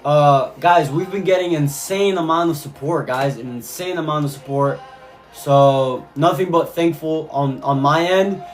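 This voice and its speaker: A man's voice: fairly high pitch, a little slow, faint music behind. A man from Cuba talking casually on a live stream, reading chat messages and answering viewers, often repeating his phrases. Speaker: livestreamer.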